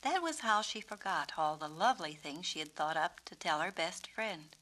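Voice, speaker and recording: A woman's voice: on the low side, lively intonation, normal speed, fairly bright tone. A woman narrating a children's storybook expressively, as its author. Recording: noisy 1970s children's story record.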